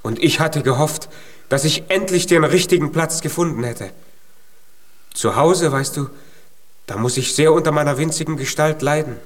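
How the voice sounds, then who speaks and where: A man's voice: fairly high pitch, moderate pace, close to an everyday voice. An actor in a children's radio play, playing a tiny male giant who is naive, earnest and full of hopeful pluck. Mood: hopeful, determined.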